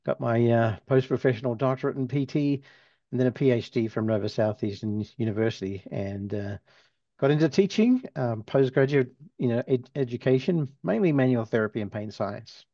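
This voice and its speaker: A relaxed Australian man in his sixties tells stories as an interview guest, his accent partly worn toward American. His voice sounds warm and mellow. The recording is dry and a little dull, as over a lo-fi line. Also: medium pitch, brisk, dry recording. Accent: Australian, softened toward American. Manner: relaxed, chuckles while telling stories. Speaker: physical therapy professor, guest. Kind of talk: storytelling interview answers.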